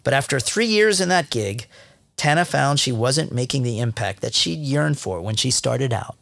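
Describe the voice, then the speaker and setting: A man's voice: mid-range voice, animated, moderate pace, gravelly, no echo. A man narrating a career podcast from a script, with a playful, wry tone. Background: soft music.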